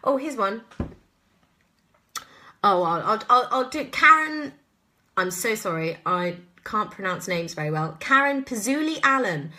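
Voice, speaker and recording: An English woman in her thirties with a bright, forward voice, talking casually in a live Q&A. She is an actress with an expressive, chatty delivery that wanders from thought to thought. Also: medium pitch, unhurried, pauses often. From southwest England. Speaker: actress answering listener questions.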